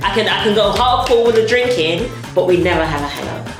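A woman's voice: medium pitch, lively intonation, fast talker. A woman comedian answering light questions in a casual promotional interview. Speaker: stand-up comedian. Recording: echoey room with music underneath.

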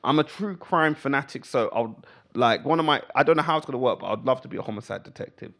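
British bloke, comedian chatting away, creaky gravelly voice, slangy and animated. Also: male voice, medium pitch, fast talker. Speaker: comedian guest. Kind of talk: casual chat, telling anecdotes.

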